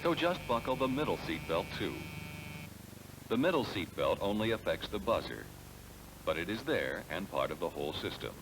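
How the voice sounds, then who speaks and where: A noisy 1970s training film soundtrack carries an American man with a low-pitched voice. He reads scripted instructions in a measured, explanatory way, speaking straight to the listener with lively rises and falls in his intonation. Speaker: narrator of a car sales training film.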